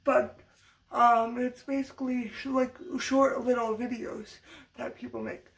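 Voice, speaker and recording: A young woman with a high voice, speaking slowly and haltingly. Her voice is fading from allergies and her nose is stuffed up. She keeps restarting words as she chats to camera in a loose, unscripted vlog. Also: fairly even intonation, rough recording. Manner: halting, many restarts and stutters. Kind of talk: unscripted casual commentary vlog.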